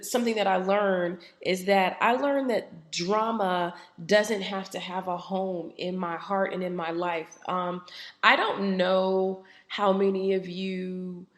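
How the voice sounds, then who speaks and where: A woman hosting a solo podcast, talking reflectively while a bit raspy from a head cold, with lots of "um" fillers. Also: mid-range voice, a bit bright, reverberant. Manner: conversational, frequent "um" fillers. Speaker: podcast host.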